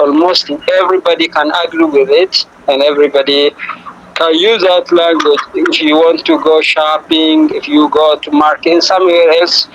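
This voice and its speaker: A man from Ethiopia whose first language is Afan Oromo speaks with an Ethiopian accent, chatting haltingly on a video call and searching for his words. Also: fairly high pitch, gravelly, a bit bright, slight background noise, low-quality recording. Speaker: guest. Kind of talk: casual video call chat.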